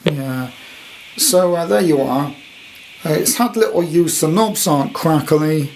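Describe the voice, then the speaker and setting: A British man in his sixties with a slightly high voice talks through an item in a casual show-and-tell. His delivery is chatty, unscripted and rambling, and there is faint noise behind him. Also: measured pace, vocal fry, darkish tone, low-quality recording. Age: 60s. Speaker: radio collector presenting his item.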